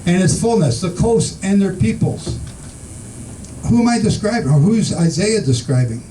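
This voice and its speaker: Guy leading a bible study, high mellow voice, mic kinda far off, bit of hiss. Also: lively intonation, normal speed. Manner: reads scripture aloud and questions the listeners. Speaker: Bible teacher. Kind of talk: informal Bible study teaching. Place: room with a small group in the Philippines.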